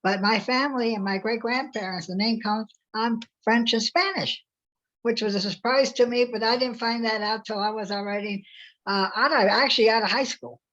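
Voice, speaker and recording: A woman's voice: fairly high pitch, moderate pace, fairly bright tone, muffled recording. A woman informally sharing a personal story in a group discussion. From United States. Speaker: workshop participant.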